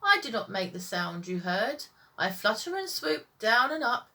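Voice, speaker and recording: A woman's voice: a little high, big pitch swings, normal speed, bright, forceful tone, distant mic, heavily acted. A woman voicing a polite, friendly little bird in a children's story, with a lilting delivery.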